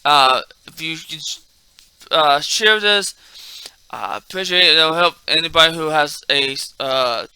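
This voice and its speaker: A man hosting a martial arts video in a casual, halting way, repeating and stumbling over words, with a slight speech impairment. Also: on the high side, pushed and bright, clear, resonant tone, no room sound. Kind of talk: casual talk mixed with halting reading aloud from a text.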